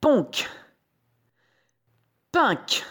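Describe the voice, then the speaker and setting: A woman voicing cheery, hard-working little storybook pigs, squeaky and exclaiming in chants. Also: extremely slow, a bit bright. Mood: effortful.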